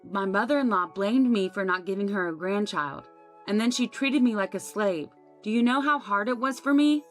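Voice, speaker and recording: A woman's voice: medium pitch, normal speed, faint music behind, pitched above the performer's own voice, natural voice. A woman narrator voicing a wronged young wife in a story, aggrieved, emphatic and resolute. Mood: bitter, accusing. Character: wronged young daughter-in-law, bitter, pained, resolute.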